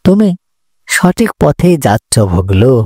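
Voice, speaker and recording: A man playing a patient, encouraging uncle in a children's cartoon, speaking in a measured, instructive and reassuring way. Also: on the high side, big pitch swings, darkish tone, no echo, studio quality, heavily acted. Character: patient, encouraging uncle mentoring his young nephew. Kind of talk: children's moral-story cartoon dialogue.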